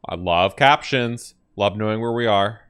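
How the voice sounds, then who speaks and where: A man's voice: on the low side, normal speed, a bit bright, clear, resonant tone. A man hosting a reaction video, commenting casually on game cutscenes and thinking aloud informally. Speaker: gaming reaction host.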